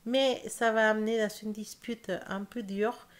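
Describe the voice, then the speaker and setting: A woman's voice: medium pitch, steady tone. Brief: young South American woman, Spanish accent in French, careful tarot reader, stops and rephrases. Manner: slow careful speech with hesitations and self-corrections. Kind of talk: casual tarot reading to camera.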